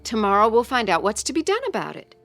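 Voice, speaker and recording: Careful suburban mother, middle-aged, animated higher voice, radio drama with light music behind. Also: fast talker. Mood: uneasy. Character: anxious, soothing suburban wife and mother. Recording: music underneath the voice.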